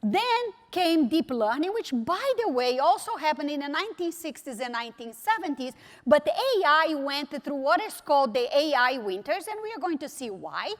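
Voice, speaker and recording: A woman's voice: sits high, sing-song, moderate pace. A woman from Brazil with a Brazilian Portuguese accent, giving a conference keynote speech with wry asides and emphatic repetition. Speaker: technology executive keynote speaker. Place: large conference hall. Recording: reverberant hall sound.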